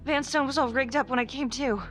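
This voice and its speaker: A woman's voice: high voice, steady tone, a little fast, fairly bright tone, soft background music. An actress playing a tough but rattled female detective in a crime thriller game, urgent, blunt and naturalistic. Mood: frantic, pleading. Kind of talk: cinematic video game acting.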